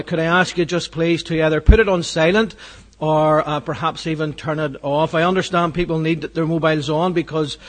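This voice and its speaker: A man welcoming people to church and leading a prayer, earnest and hesitant with fillers. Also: on the high side. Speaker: church host. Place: church hall.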